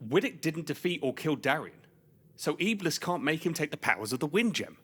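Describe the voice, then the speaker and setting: Husky, animated anxious adventurer. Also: male voice, a little high, a little fast, in a large hall, full character voice. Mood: hopeful. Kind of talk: scripted fantasy audio drama acting.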